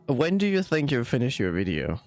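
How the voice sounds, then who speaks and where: Man, mid-pitched, very varied intonation, blunt teasing banter, faint music. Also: huge pitch swings, a little fast. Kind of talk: casual podcast chat about a video game. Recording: music behind the voice.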